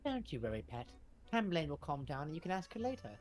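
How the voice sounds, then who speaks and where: A woman's voice: deep voice, big pitch swings, fast talker, a little mellow, soft background music. A woman voicing a kindly, motherly British woman, soothing and reassuring.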